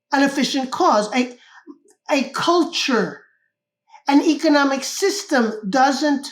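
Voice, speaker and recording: Older Cuban-born woman, high voice. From Cuba. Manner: thoughtful, checks in with "correct?". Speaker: guest author. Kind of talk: explaining ideas in an interview.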